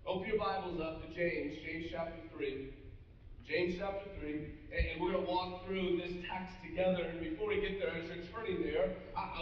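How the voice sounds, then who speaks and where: A man's voice: high voice, slight background noise. A middle-aged man preaching a sermon to his congregation, speaking earnestly and addressing them directly, with frequent restarts. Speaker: pastor. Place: large church auditorium with a seated congregation. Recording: echoey, muffled hall audio from a distance.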